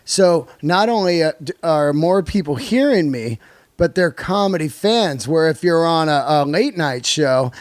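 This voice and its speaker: A man's voice: on the high side, expressive, a little slow, dry recording, studio quality. A man hosting a casual podcast chat, talking in slangy, conversational phrases with keen interjections. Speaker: podcast host.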